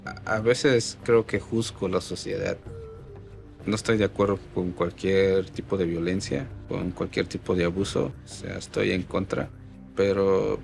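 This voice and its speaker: Low raspy voiced guy from oaxaca, mixe, thoughtful, lots of "este". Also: little pitch movement, measured pace, a little mellow, soft background music. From Oaxaca, Mexico. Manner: reflective, with frequent "este" fillers. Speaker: artist. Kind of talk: interview answers.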